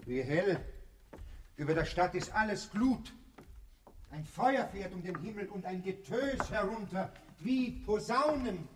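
A man in a German radio drama playing a deferential, haunted middle-aged barber and executioner who speaks haltingly and repeats himself. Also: sits high, animated, slight background noise. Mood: visionary dread. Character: servile, haunted barber and executioner, rambling simple soldier type.

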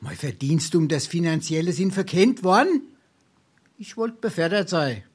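Boozy boastful old-time hessian windbag, halting then grandiose, wheedling, stage comedy. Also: male voice, fairly high pitch, animated, breathy edge. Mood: ingratiating, self-important. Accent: Darmstadt Hessian. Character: boastful, down-at-heel male tippler spinning tall tales. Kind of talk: theatrical dialect comedy acting.

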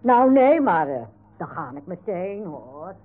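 A woman's voice: fairly low pitch, sing-song, slow, full character voice. A woman playing a stubborn, grumbling village housekeeper in a radio play, huffy and flustered. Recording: narrow, phone-like radio recording.

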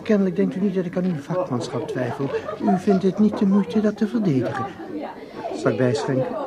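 An actor playing an urbane, probing academic in a radio play, polite and wry, at a noisy party. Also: male voice, high voice, expressive, fairly quick. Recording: loud party crowd and music.

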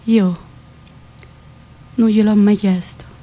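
A woman's voice: mid-range voice, fairly even intonation, takes it slowly, warm tone, husky, voice pushed down, rough recording. A woman playing an old village grandmother with a central Italian accent, telling her memories in a plain, reflective, colloquial theatrical monologue. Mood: wistful, resigned.

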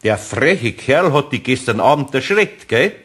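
A gruff but kind old master carpenter with a Bavarian accent. He grumbles and muses slowly with a husky, slightly breathy voice. Gentle teasing sits under the gruffness. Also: mid-range voice, very animated, heavily acted. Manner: grumbling, slow, good-natured. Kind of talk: radio play acting.

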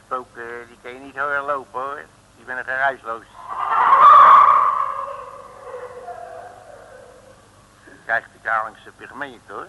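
An actor playing a gruff, grumbling, bossy male detective with folksy slang and a gravelly voice, in 1960s comic radio play style. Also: mid-range voice, fairly even intonation, moderate pace, close to an everyday voice. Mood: scornful. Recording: noisy old radio recording.

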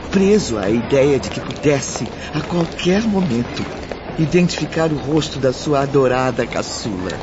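A man with a Brazilian accent giving dramatic scripted narration as the narrator of a radio drama. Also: medium pitch. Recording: muffled, with music behind.

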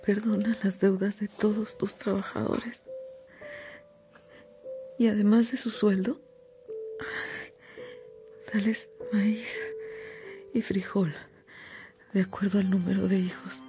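Woman acting dying mom in a radio drama, super slow n whispery, tender, soft music behind. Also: medium pitch, level tone, close to an everyday voice. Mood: weak, solemn. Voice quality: breathy, often whispering. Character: tender dying mother, weakly giving last wishes.

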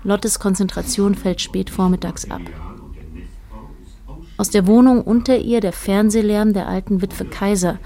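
A woman's voice: medium pitch, steady tone, unhurried, warm tone, close to an everyday voice. A woman narrating a literary radio play as a detached, observant storyteller, in short plain sentences.

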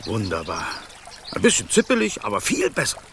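An actor playing a bossy, grumpy but caring golden snake guide in a radio play, brusque and scolding. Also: male voice, fairly low pitch, huge pitch swings, fairly quick, a bit bright, slight background noise, full character voice. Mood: fussy, amused. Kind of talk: German radio play acting.

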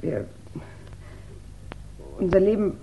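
An actress playing a reserved, distressed widow in a 1960s German radio crime drama. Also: female voice, mid-range voice, expressive, takes it slowly, gravelly, dull audio. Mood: subdued, guarded. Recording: noisy 1960s radio recording.

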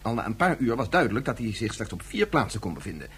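An actor playing a flustered, impatient professor in a radio drama, husky and stammering. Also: male voice, fairly low pitch, big pitch swings, fast talker, fairly bright tone, heavily acted. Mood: exasperated, reasoning. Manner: hesitant, stammering, repeats himself. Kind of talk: Dutch radio drama acting.

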